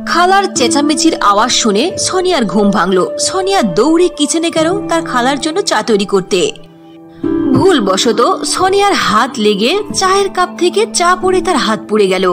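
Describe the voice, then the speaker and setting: A woman's voice: sits high, very animated, moderate pace. A woman narrating a scripted children's fairy tale as storyteller. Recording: clear music under the voice.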